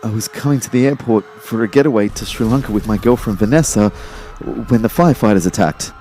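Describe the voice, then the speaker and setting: A man's voice: lowish voice, warm tone, natural voice. A man acting a bewildered, stammering young man caught in a disaster in an audio drama. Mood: shaky, halting. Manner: halting, stammering.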